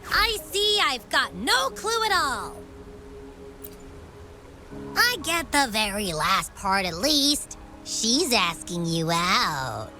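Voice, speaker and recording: A woman voicing a cheerful, chatty young companion character in a video game, playful and quick to exclaim. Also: a little high, big pitch swings, bright, forceful tone, faint music behind, exaggerated voice. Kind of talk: game voice acting.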